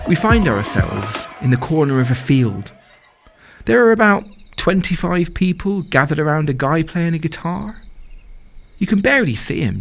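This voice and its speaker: Casting: lovestruck young festival-goer, anxious and excitable, very animated pitch, rhythmic confessional storytelling for audio drama, music underneath. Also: male voice. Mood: wondering, hushed. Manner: poetic, rhythmic, confessional delivery. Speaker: solo performer. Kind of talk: dramatic first-person storytelling monologue. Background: music and sound design behind the voice.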